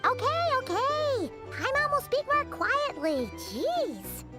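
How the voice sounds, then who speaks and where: A woman voicing a tiny, excitable and cheeky fairy companion with a childlike manner in a video game. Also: extremely high pitch, slow, full character voice. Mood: sulky. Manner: refers to self in third person, gushing exclamations. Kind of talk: video game character acting. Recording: game dialogue over loud tinkling music. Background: loud playful game music.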